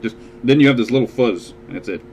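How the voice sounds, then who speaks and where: A man's voice: medium pitch, fast talker, a little mellow, slight background noise. A man hosting a casual podcast, explaining things step by step with dry jokes. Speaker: podcast host.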